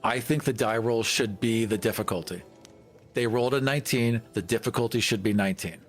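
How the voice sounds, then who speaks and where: A man's voice: on the low side, fairly quick, faint music behind. Opinionated man chatting at a game table. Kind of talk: casual game-table chat.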